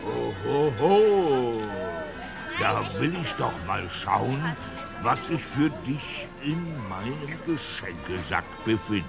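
A man's voice: big pitch swings, gravelly, crowd noise, exaggerated voice. A man playing a jolly, grandfatherly department-store Santa Claus in a theatrical audio drama. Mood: jolly, inviting. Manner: theatrical, slow booming ho-ho-ho delivery. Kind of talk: German audio drama acting. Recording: music behind the voice.

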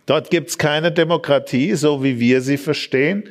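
A man's voice: on the high side, normal speed. A man explaining his view as an expert guest in a debate, with emphatic, punchy statements. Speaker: economic expert, panel guest.

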